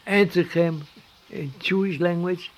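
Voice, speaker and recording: An older man from Switzerland with a Swiss German accent, telling his life story in halting English with repeated words and restarts. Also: sits high, steady tone. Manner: halting, repeats words, non-native phrasing. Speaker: interviewee.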